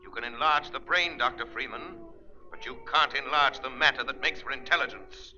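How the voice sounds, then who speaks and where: Unseen crime-fighter: very forceful, bright voice, persuasive and mocking, 1930s radio melodrama, muffled vintage sound. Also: male voice, medium pitch, normal speed, slight background noise. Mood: scornful, taunting. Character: shrewd, commanding, invisible crime-fighting avenger.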